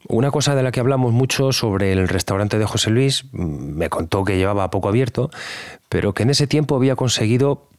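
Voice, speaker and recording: A man's voice: lowish voice, expressive, a little fast, a little mellow, no echo, clean studio sound, close to an everyday voice. A man playing a civil guard who recounts an obsessive cold case conversationally and reflectively, as in a documentary interview. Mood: candid, musing. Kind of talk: documentary-style interview testimony.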